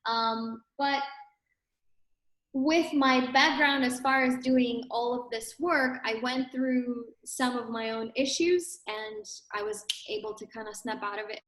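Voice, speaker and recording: A woman's voice: fairly high pitch, slow pace, a bit bright, reverberant. A woman in her thirties from Ukraine with a Ukrainian accent, a fitness studio owner explaining things casually in an interview, with frequent fillers and tag questions.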